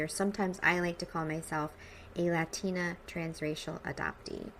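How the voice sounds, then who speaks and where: Woman, 40s, American accent, lower voice. Upbeat, informal podcast host; some background noise. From United States, suburban Midwest. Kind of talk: personal podcast monologue.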